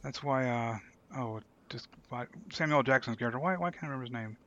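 A man's voice: medium pitch, quick pace, a bit bright, no room sound, lo-fi sound. A man co-hosting a podcast, chatting casually with the others. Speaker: podcast co-host. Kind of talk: casual group chat.

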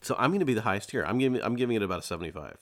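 Low-voiced man hosting a podcast. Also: animated, racing. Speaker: podcast host. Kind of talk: casual podcast chat over drinks.

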